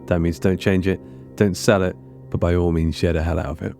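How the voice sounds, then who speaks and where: A podcast host reading the episode credits in a brisk, casual, upbeat way. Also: male voice, low voice, little pitch movement, creaky, darkish tone. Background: music.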